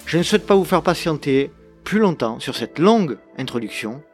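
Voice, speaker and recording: A man's voice: on the high side, sing-song, normal speed, soft background music. A man hosting an interview podcast, speaking in a presenter's introductory and questioning style.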